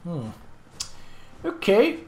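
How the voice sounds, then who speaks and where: Man, game commentary, unhurried, lively pitch, slightly high voice, roomy echo. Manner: chatty, easily distracted, thinks aloud while playing. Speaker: Let's Play host. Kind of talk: casual gameplay commentary.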